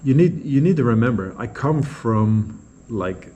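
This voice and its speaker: A man's voice: mid-range voice, expressive, measured pace, dark tone, lo-fi sound, a little hiss or hum. A man from Norway, a native Norwegian speaker, talking casually and unscripted as a vlogger, rambling and repeating words as he goes. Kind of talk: casual unscripted vlog replies to viewer comments.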